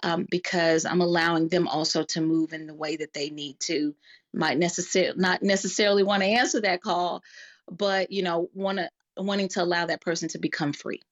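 A woman with a fairly low voice talks in an earnest, reflective way, as a guest in a group discussion. She checks in with her listeners as she explains. The audio is dull and lo-fi. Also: fairly even intonation, moderate pace.

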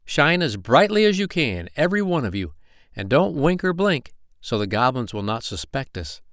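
A man voicing a wise old male firefly who lays out a plan in a deliberate, plotting tone. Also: medium pitch, big pitch swings, a bit bright, no echo, studio quality, voice pushed up. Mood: scheming.

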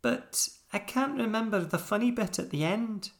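A storybook narrator voicing a small, nervous female toy with a squeaky voice. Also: lively intonation, normal speed, lightly acted. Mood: forlorn. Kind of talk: children's storybook character voice.

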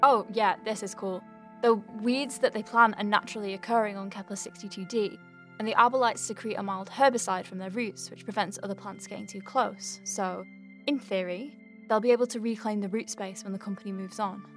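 A woman's voice: medium pitch, fairly even intonation, fairly quick, faint music behind, lightly acted. A woman playing an earnest, wry doctor in an audio drama, thinking aloud in a rambling, conversational way as if dictating notes. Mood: thoughtful, explanatory. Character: earnest young woman alien-clinic doctor, flustered and wry. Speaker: doctor dictating a patient letter.

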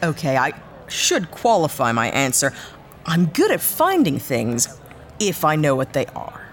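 A woman's voice: low voice, sing-song, unhurried, a little hiss or hum. An actress playing a sardonic, blunt ex-cop starship captain down on her luck, in a sci-fi audio drama. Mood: guarded. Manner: sardonic, blunt, hesitant when awkward.

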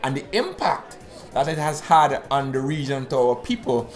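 A man's voice: medium pitch, very animated, moderate pace. A man from the Eastern Caribbean co-hosting a talk show, explaining finance news in a conversational way with frequent restarts. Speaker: podcast co-host. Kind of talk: conversational analysis.

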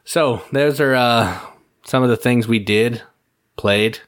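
A man's voice: mid-range voice, slow pace, a bit bright. A man hosting a podcast, chatting casually with laid-back, joking grumbles. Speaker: podcast host. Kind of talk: casual chat.

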